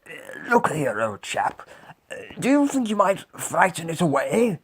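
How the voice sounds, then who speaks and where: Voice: medium pitch, wildly swooping pitch, measured pace, slightly rough, pitched above the performer's own voice, full character voice. A storybook lion put on by a narrator, pompous and blustering yet cowardly. The voice is pushed up and swings widely. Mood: nervous. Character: blustering, cowardly old-chap lion, pompous but nervous.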